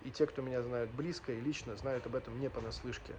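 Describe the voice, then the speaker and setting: A man's voice: mid-range voice, fairly even intonation, fast talker, a little mellow, a little hiss or hum, poor audio. A man in his thirties from Ukraine and Russia telling his personal story as a vlogger, emphatic and earnest, repeating phrases for weight. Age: 30s.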